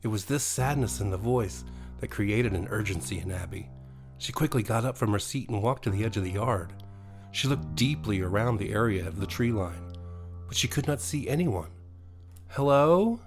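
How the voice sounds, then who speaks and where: A man's voice: lowish voice, normal speed. A man narrating a horror bedtime story in a dramatic storytelling style. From USA. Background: music.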